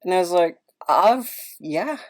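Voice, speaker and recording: Woman podcaster w a low voice, chatty and rambly, kinda pokes fun at herself. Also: expressive, measured pace. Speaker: podcast host. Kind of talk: casual rambling podcast chat.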